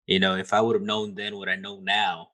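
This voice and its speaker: A man's voice: sits low, completely flat delivery, fairly quick, pushed and bright. A man hosting a podcast interview, stammering and repeating words as he starts his sentences. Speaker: podcast host.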